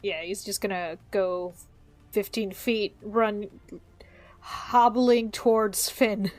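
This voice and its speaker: A woman's voice: medium pitch, fairly even intonation, takes it slowly, soft background music. A woman playing a tabletop roleplaying game, chatting casually as she works out her character's moves.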